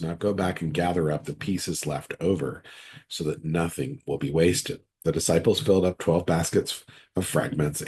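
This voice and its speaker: A deep-voiced man with a husky, rough throat from a cold talks through a Bible passage. He reads the scripture, then teaches in a casual, conversational way from his computer, often circling back to restart a thought. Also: darkish tone. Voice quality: raspy, very deep voice. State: hoarse from a cold. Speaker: pastor. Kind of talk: online midweek Bible study, reading scripture and teaching.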